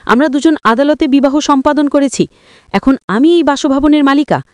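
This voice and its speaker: A woman's voice: sits high, moderate pace, no room sound, clean studio sound, natural voice. A woman voicing a scheming, demanding young new wife in a story, bossy, mocking and self-satisfied.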